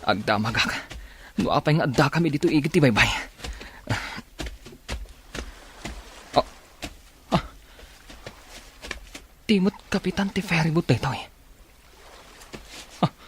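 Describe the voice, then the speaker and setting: A man acts out a shipwrecked man in an Ilocano radio drama. His mid-pitched voice is confused yet helpful, halting and gasping as he talks to himself. There is faint noise behind him. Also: lively intonation, a bit bright, natural voice. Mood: dazed, alarmed. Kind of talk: Filipino radio drama acting.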